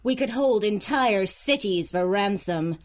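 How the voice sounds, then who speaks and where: A woman's voice: mid-range voice, expressive, measured pace, close to an everyday voice. A woman playing a ruthless, imperious supervillain in a pulp radio drama, menacing, theatrical and scornful. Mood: cold scheming. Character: ruthless, imperious female supervillain crime lord plotting revenge.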